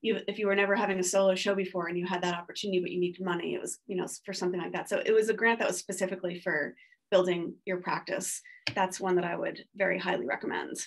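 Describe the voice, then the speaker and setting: A woman's voice: fairly low pitch, steady tone, fast talker, creaky. A woman in her forties talking casually on a video call panel from a home studio, rambling with lots of filler words and restarts. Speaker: panelist artist. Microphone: video call webcam.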